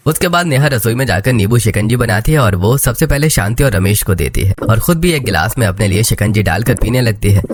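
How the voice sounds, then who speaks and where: Male narrator for an animated family tale; lowish voice, slight noise behind. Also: fairly quick, hardly any pauses. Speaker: story narrator. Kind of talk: scripted cartoon story narration.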